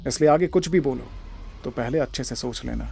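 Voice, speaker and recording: A man's voice: medium pitch, wildly swooping pitch, brisk, gravelly, mellow, soft background music. A man playing a blunt, defiant protector who challenges his elders in an audio drama. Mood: menacing. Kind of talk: Hindi audio drama acting.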